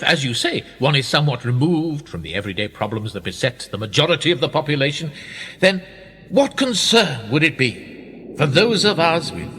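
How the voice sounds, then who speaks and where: A man's voice: mid-range voice, pushed and bright, slight background noise. An actor playing a wealthy upper-class British businessman in a radio play, urbane, wry and self-mocking, with formal, rhetorical speech. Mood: musing, pointed. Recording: reverberant room with long echo.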